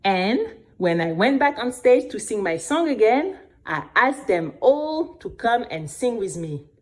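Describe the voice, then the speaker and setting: A woman's voice: fairly high pitch, unhurried. A woman playing an earnest, chatty young girl who narrates her own adventure expressively, talking straight to the listener. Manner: expressive, conversational, addresses listener. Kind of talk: animated first-person story narration.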